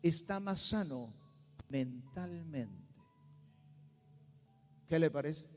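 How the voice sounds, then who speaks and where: A man's voice: a little high, lively intonation, extremely slow, big-room sound, faint music behind. A male pastor with a Peruvian Spanish accent, preaching a sermon with rising intensity and bursts of speaking in tongues. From Peru. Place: church sanctuary.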